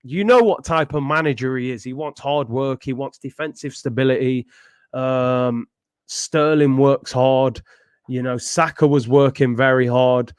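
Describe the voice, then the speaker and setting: A man's voice: mid-range voice, fairly even intonation, measured pace, clean tone, no room sound. A young man hosting a casual football chat, talking in a slangy, conversational way. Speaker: football channel host.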